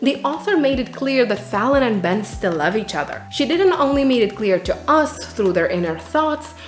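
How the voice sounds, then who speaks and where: A woman's voice: fairly high pitch, expressive, normal speed. A woman narrating a scripted book review in an earnest way, with dramatic emphasis. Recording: roomy echo, music underneath. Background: background music.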